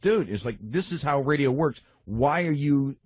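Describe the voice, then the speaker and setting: Husky, blunt man on talk radio. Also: mid-range voice, expressive, moderate pace, no room sound, highs cut off. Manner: blunt, profane storytelling with acted-out dialogue. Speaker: radio show panelist. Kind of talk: casual radio roundtable chat.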